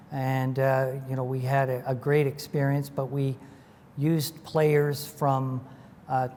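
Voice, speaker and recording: A man in his sixties who speaks slowly and haltingly as an interview guest, with lots of fillers. His voice trails into a long reverb, and a faint hiss sits under it. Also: medium pitch, darkish tone. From Ontario, Canada. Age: 60s. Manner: slow and halting, many uh and um fillers. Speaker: interview guest, former lacrosse organizer. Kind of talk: recalling past in interview.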